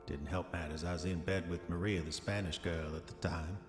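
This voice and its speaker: An actor playing an arrogant, dissolute diarist of the 1910s, speaking formal old-fashioned entries in a pompous manner for a horror audio drama. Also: male voice, deep voice, fairly even intonation, brisk, natural voice. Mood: bitter, uneasy. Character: arrogant, dissolute 1910s male diarist, self-satisfied, increasingly haunted. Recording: echoey long reverb, music underneath. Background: music.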